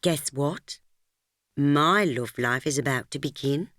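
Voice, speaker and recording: A woman voicing a lively, restless teenage girl, teasing and coaxing. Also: low voice, slow, dry recording, clean studio sound. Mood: eager. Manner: teasing, coaxing, whispery at times. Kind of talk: audiobook dialogue.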